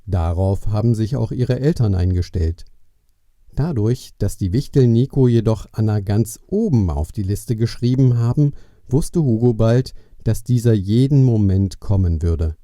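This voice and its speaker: Very dark, low-voiced male storyteller. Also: expressive, unhurried, no room sound, clean studio sound. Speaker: narrator. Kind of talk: scripted storytelling for a children's audio play.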